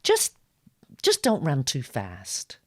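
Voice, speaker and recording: A woman voicing a feisty, proud teenage gargoyle girl in a storybook reading, insistent and excitable. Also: rumbling low, slow pace, exaggerated voice. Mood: hesitant.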